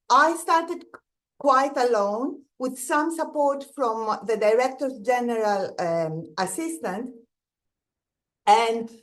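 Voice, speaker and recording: A middle-aged woman with a Greek accent, giving an earnest, reflective presentation over slides and often restarting her phrases. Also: sits high. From Greece. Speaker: guest speaker, museum director.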